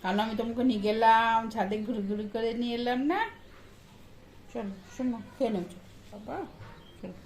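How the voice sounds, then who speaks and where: Woman, high and lively pitch, soft doting tone toward a pet, faint background noise. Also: fairly quick, long pauses. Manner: soft, tender, cooing to a dog. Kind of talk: casual home video.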